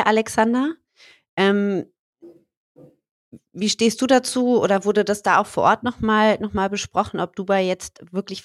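A woman's voice: medium pitch, dry recording, clean studio sound. A woman co-hosting a podcast in a chatty, joking way, with a slight cold. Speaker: podcast co-host. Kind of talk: casual podcast chat.

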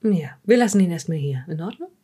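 A woman playing a calm police psychologist who questions a suspect politely and tentatively in an improvised audio drama. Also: lowish voice, fast talker, slightly rough.

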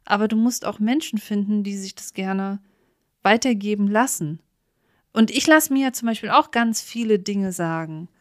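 A woman's voice: mid-range voice, moderate pace, no echo, studio quality. A woman co-hosting a podcast, chatting casually in a candid, self-effacing way. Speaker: podcast co-host. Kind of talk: casual chat.

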